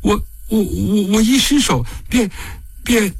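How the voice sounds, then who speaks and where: A man narrating an audiobook, voicing a sorrowful, devoted young woman prisoner who speaks softly, haltingly and pleadingly. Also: a little high, big pitch swings, husky, no room sound, clean studio sound, pitched above the performer's own voice, heavily acted. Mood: distraught, faltering.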